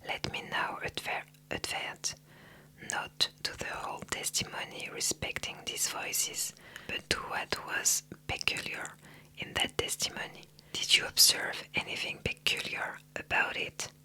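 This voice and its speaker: Whispering reader as a measured, analytical detective; slow and breathy. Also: pushed and bright, rough recording.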